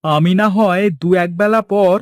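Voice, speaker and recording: A man's voice: high voice, measured pace, voice pushed up. A man voicing an eager, friendly village man in a cartoon, speaking in a coaxing way. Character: eager village man wanting to start trading. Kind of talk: Bengali cartoon dialogue acting.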